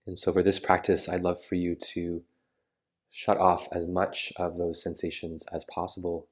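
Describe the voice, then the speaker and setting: Deep, even-toned male meditation guide. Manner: soothing, unhurried phrasing with pauses. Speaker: yoga nidra meditation guide.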